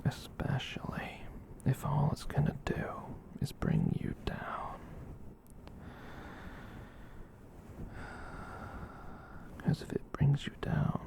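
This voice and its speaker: A performer voicing a gentle, kind giant catboy in a soft breathy whisper, rambling reassuringly in an ASMR roleplay. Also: medium pitch, little pitch movement. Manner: rambling, reassuring, slow with long pauses.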